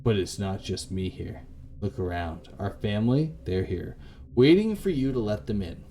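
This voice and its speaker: A man doing a gentle, low-voiced late husband. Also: animated, moderate pace, slight background noise, close to an everyday voice. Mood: tender, soothing.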